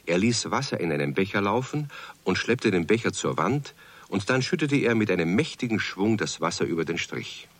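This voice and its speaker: A man's voice: lowish voice, animated, normal speed, fairly bright tone, no room sound. A man narrating a children's radio play in a scripted storybook style.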